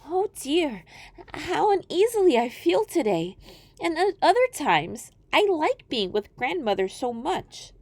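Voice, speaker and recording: Woman as an innocent high-voiced little storybook girl. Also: very animated, measured pace, darkish tone, no echo, heavily acted. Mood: uneasy. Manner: sweet, polite, earnest.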